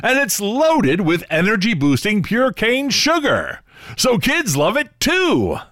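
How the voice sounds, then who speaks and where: A man's voice: high voice, wildly swooping pitch, slow pace, fairly bright tone, dry recording, clean studio sound, full character voice. An actor playing a theatrical, earnest male host of an old-time radio show, with polished scripted delivery. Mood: folksy, upbeat. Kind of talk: 1930s radio narration and sponsor read. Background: faint music.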